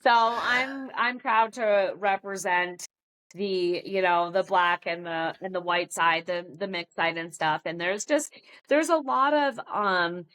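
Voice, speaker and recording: A woman's voice: lowish voice, slow, fairly bright tone, poor audio. A middle-aged American woman chatting informally and jokingly as a podcast guest. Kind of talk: casual chat.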